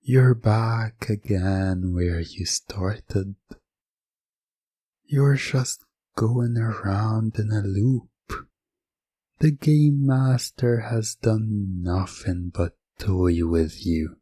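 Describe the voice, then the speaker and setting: Male hypnosis storyteller: low, dark-toned, suggestive, with dramatic pauses and a close, dry sound. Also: husky, no echo. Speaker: hypnosis narrator. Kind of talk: scripted second-person hypnosis ASMR storytelling.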